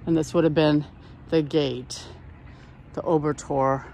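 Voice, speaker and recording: A woman's voice: low-pitched, steady tone, a little slow, gravelly, warm tone, slight background noise. A woman narrating a walking tour outdoors, chatting casually and pointing out the sights as she films. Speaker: tour guide. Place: outdoors on old town streets.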